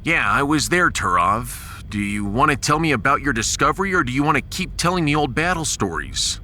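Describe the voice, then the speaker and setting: A man's voice: mid-range voice, sing-song, a little fast, bright, forceful tone, slight background noise. A man playing a bold, sarcastic starship captain in a science fiction audio drama, with a dramatic and wry delivery. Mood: sarcastic, impatient.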